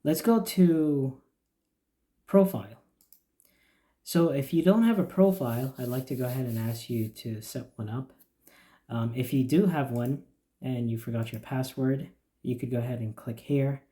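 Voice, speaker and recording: A man explaining the features of a church website in a steady, plain walkthrough with occasional fillers. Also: medium pitch, measured pace. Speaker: church website developer giving a demo. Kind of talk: screen-recorded software walkthrough.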